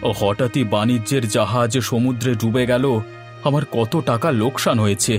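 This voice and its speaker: A man's voice: mid-range voice, steady tone, normal speed, faint music behind, close to an everyday voice. Man acting a pleading, ruined merchant father. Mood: pleading, distressed. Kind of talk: Bengali fairy-tale cartoon dubbing.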